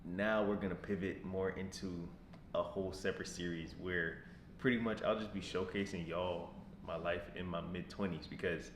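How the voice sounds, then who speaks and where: A man in his mid-twenties, talking casually to camera as a vlogger, rambling and repeating himself in a relaxed way. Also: sits low, moderate pace. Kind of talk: casual vlog talk to camera. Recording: echoey room with background noise.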